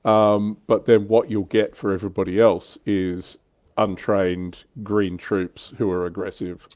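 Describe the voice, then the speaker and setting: A man's voice: lowish voice, little pitch movement, measured pace, darkish tone, dry recording. A man hosting a casual livestream chat about tabletop wargaming in an informal, conversational way. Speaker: livestream host.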